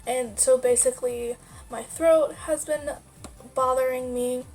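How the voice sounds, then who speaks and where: A woman's voice: high-pitched, fairly even intonation, measured pace, a little mellow. A young woman vlogger with a stuffy nose from a sinus infection, chatting casually and rambling with many fillers. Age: young adult. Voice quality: nasal. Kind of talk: casual life-update chat.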